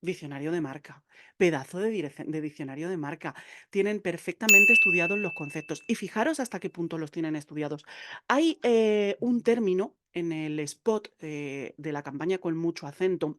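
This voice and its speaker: A woman teaching copywriting in a video lesson, speaking straight to the viewer with a lively, chatty manner and frequent fillers. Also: on the low side, moderate pace, noisy background. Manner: lively, addresses viewer directly, many fillers.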